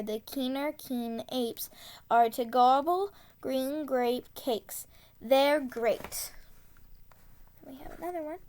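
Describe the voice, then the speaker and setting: Woman, high-pitched voice, unhurried pace, reading rhymes aloud for children, deliberate articulation. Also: a little mellow. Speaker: storybook reader. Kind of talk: read-aloud of a children's tongue-twister book.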